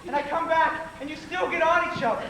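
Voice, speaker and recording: A young man on stage playing a betrayed, indignant boyfriend, loud and emphatic with heavy sarcasm. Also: extremely high pitch, steady tone, fast talker. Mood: indignant, sarcastic. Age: young adult. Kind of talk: amateur stage drama acting. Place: theater stage, indoors. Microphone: distant audience camcorder. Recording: echoey theater, noisy camcorder audio.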